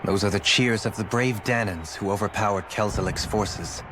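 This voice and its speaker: An actor voicing a dignified, resolute young lord in a video game, speaking formally and solemnly. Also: male voice, fairly low pitch, normal speed, noisy background, natural voice. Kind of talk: dubbed video game cutscene acting. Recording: loud game music under the voice. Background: loud background music.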